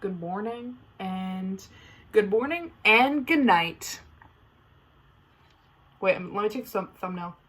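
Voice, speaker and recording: A woman's voice: medium pitch, slow pace, pauses often, a bit bright. A teenage girl hosting her own podcast, chatting casually and playfully dramatic, with lots of fillers. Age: teens. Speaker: podcast host. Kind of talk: casual solo podcast chat.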